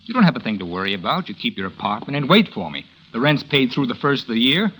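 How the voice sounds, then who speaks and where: A man's voice: mid-range voice, sing-song, fast, fairly bright tone, husky, poor audio. A man playing a bitter, self-absorbed novelist who narrates and talks in an old radio drama, with a brooding tone. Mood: cold. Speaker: struggling novelist narrating his story. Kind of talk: 1940s radio drama acting. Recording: old radio broadcast with music bed.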